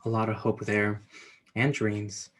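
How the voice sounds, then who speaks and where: A man's voice: on the low side, monotone, unhurried, fairly bright tone. A man hosting a book talk, introducing readings and putting questions to the guests. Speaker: host, moderator.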